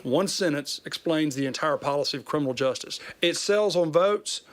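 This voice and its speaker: A man's voice: on the high side, normal speed. A man explaining things as a politician in an interview, in plain, punchy phrases. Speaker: state senator, interviewee.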